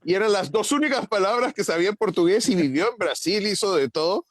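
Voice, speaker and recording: Chatty man, high voice, dry close sound, video call. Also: lively intonation, quick pace, no echo. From Chile. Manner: chatty anecdote-teller, laughs while talking. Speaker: conference participant. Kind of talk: casual chat.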